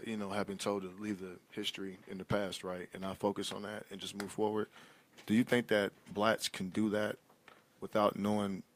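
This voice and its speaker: A man in a casual panel discussion, speaking in a halting, conversational way as he puts questions to others. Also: fairly low pitch, fairly bright tone. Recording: clearly noisy background.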